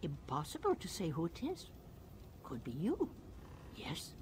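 Slow, solemn alien mystic woman. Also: low voice, huge pitch swings, a little hiss or hum, exaggerated voice. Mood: mystical, instructive. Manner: ceremonial, deliberate, breaking into hushed whispers. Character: solemn, mystical female alien spiritual leader. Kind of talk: video game voice acting.

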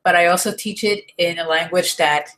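A woman's voice: lowish voice, steady tone, moderate pace, a bit bright. A woman in her thirties, from the United States, giving an earnest, encouraging talk to her webcam at home, often restarting her sentences. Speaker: online marketing vlogger. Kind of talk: motivational talk to camera. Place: home room with desk and shelves, indoors. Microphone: webcam at desk.

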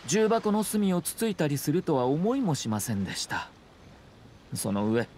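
Slyly polite young nobleman, lively pitch. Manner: polite, formal, veiled sarcasm. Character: refined young nobleman commissioner, calm, slyly mocking. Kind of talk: Japanese anime game voice acting. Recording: game dialogue over ambient scene noise. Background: game scene ambience.

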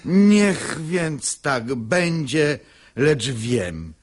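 An actor playing a sanctimonious, scheming hypocrite who feigns wounded humility in unctuous, martyred verse in a radio comedy. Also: male voice, medium pitch, sing-song, drawn-out words, heavily acted.

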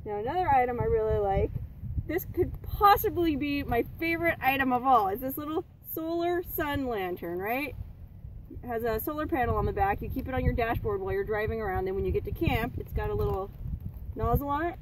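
A woman's voice: fairly high pitch, expressive, brisk. A woman in her thirties chatting bluntly and casually about camping gear, swearing freely, at an outdoor campsite. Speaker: vlogger. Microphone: camera a few feet away. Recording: distant mic with outdoor noise.

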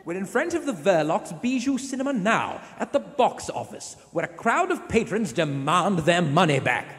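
A suave vintage radio narrator, played by a man, with a high voice that swings dramatically through the lines. He sounds theatrical, in a reverberant theater with music clearly underneath. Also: sing-song, moderate pace, a bit bright. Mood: dramatic. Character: suave old-time radio announcer narrating a thriller. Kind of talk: 1940s radio play narration. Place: live on a theater stage.

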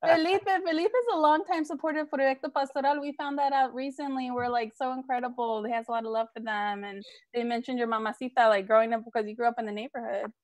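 A woman with a fairly high voice co-hosts an informal group conversation. She speaks casually over a video call. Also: fairly even intonation, fast. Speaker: co-host of a table read. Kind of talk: casual chat.

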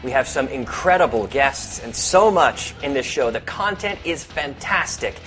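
A man hosting and promoting a show, speaking with excitement. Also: medium pitch, slow pace, faint music behind. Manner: excited, upbeat. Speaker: host. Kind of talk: energetic promo talk.

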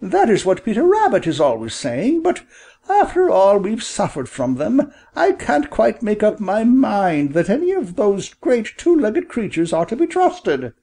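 A man puts on an anxious mother quail from a children's storybook. She sounds high and fretful, full of doubt and questions, with very animated intonation. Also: unhurried, slightly rough, full character voice. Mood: doubtful.

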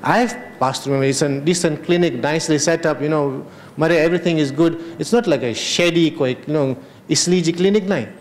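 A man's voice: on the high side, fairly quick. Middle-aged man with a Kenyan Memon accent. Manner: chatty, mixes Kutchi phrases into English. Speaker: panel moderator. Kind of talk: unscripted panel discussion. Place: large hotel conference hall. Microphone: handheld mic.

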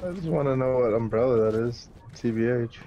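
A man's voice: mid-range voice, fairly quick, lo-fi sound. Man bantering over noisy game chat. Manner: slangy, teasing, swearing. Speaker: gamer in a squad voice chat.

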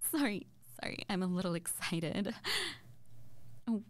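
Woman acting a slow, breathy, flustered young witch. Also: medium pitch, expressive, no room sound. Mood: curious, excited. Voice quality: breathy, often dropping to near-whisper. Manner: hesitant, stammering, giggly. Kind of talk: intimate girlfriend roleplay ASMR audio.

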